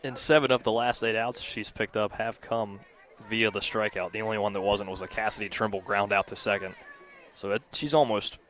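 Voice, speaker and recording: A man working as color commentator on a live radio softball broadcast, analysing play at an outdoor ballfield. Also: on the low side, steady tone, a little fast.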